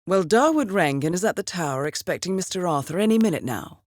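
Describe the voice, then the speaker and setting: An actress playing a composed, dryly witty intelligence handler in a video game, briefing an assassin in a formal, understated, ironic manner. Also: female voice, fairly low pitch, lively intonation, fast talker, no echo, clean studio sound, natural voice. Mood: composed, businesslike. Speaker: mission handler.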